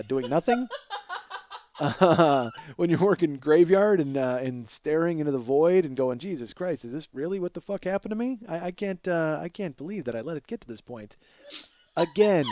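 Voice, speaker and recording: A man's voice: a little high, warm tone, no echo. A middle-aged man hosting a comedy podcast in a casual, rambling monologue, swearing freely and poking fun at himself. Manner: profane, self-deprecating, exclamatory.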